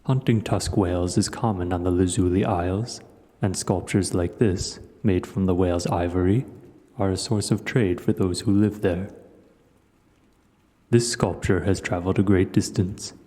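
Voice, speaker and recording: A man voicing an ancient, wise and gentle dragon in an audio roleplay, speaking slowly and reflectively to the listener. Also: sits low, lightly acted. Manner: slow, measured, reflective storytelling. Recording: cavernous long reverb.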